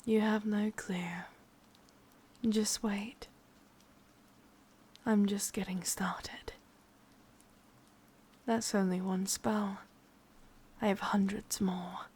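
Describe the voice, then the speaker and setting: Woman as a slow, whispering English witch lover. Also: medium pitch, level tone, a little mellow, heavily acted. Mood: gloating, sadistic. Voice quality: breathy, husky whisper. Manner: slow, intimate, teasing. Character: sadistic, possessive, doting immortal witch lover. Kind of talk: whispered ASMR roleplay.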